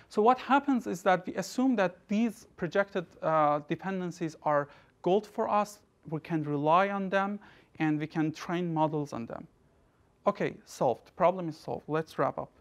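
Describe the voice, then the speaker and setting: A man's voice: sits high, unhurried. A young researcher lectures from the front of an indoor room, picked up by a distant camera. His talk is loose, full of restarts and "you know" fillers. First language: Persian. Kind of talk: academic lecture.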